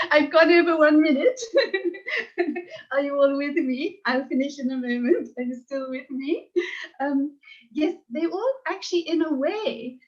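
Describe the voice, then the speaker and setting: British woman, high voice, dull lo-fi sound, emotional and laughing, judge's comments. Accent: standard British English. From Britain. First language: English. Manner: warm, emotional, laughs often, rambling. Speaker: contest judge.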